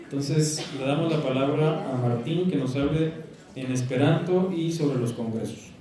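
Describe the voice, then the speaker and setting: A man's voice: fairly high pitch, warm tone. A man moderating audience questions in a large auditorium, calling on people and repeating their questions. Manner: brisk, calls on askers, repeats questions. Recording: echoey auditorium.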